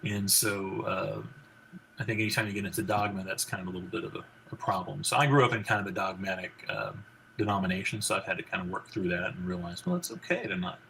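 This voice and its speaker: Guest spot: forties man, low gravelly baritone, rambling talk full of fillers, faint background hiss. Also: fast, bright, forceful tone, poor audio. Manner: rambling, many fillers and restarts. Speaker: guest, physician and country singer. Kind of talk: casual interview conversation.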